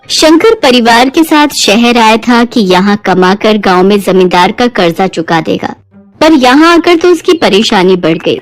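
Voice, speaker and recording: A woman's voice: fairly high pitch, sing-song, unhurried, faint music behind, poor audio. A woman narrating a scripted Hindi moral story as a storyteller.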